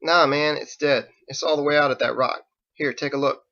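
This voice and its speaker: Man voicing a slangy, laid-back young sailor, bright voice. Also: a little high, steady tone, moderate pace, muffled recording, voice pushed up. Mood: casual, bragging. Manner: slangy, folksy, informal. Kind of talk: audiobook character acting.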